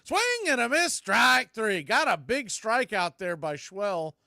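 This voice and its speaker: A man calling live baseball play-by-play in punchy short phrases, getting excited on big plays. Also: sits high, unhurried, creaky, no room sound, clean studio sound.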